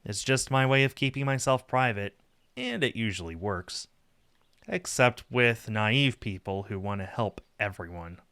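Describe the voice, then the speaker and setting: A man reading a visual novel game aloud as a streamer, with expressive narration and casual asides. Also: lowish voice, measured pace, clear, resonant tone, dry recording.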